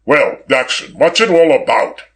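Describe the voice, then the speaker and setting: A male narrator plays a commanding gentleman in an audiobook story. He speaks in curt, forceful phrases with a sharp, impatient authority. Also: medium pitch, animated, a little slow. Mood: brusque. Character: curt, commanding gentleman, brusque with his servant.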